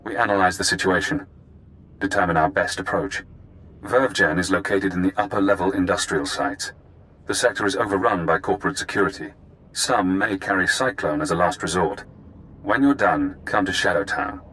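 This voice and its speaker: An actor playing a cool, calculating imprisoned ex-spy in a video game, speaking in a measured, precise, formal way. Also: male voice, sits low, sharp tone, a little hiss or hum, low-quality recording.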